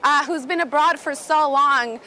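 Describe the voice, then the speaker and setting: A woman's voice: sits high, unhurried, sharp tone, slight background noise. A young woman from Brazil with a Brazilian accent, reporting live for a news channel in an emotional, earnest way. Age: young adult. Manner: emotional, earnest, with some restarts. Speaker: live correspondent.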